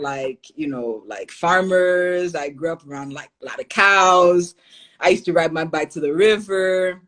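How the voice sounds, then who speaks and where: A woman's voice: fairly low pitch, a bit bright. A young adult woman from Haiti, a podcast guest, talking in an earnest, reflective way and laughing easily. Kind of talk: casual interview talk.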